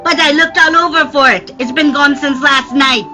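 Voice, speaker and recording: A whiny, indignant circus starlet as a woman acts her, very high and piercingly bright. She sounds aggrieved in old 1940s radio play style. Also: moderate pace, faint music behind. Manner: shrill, aggrieved, taunting. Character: whiny, indignant circus star with a dancing elephant.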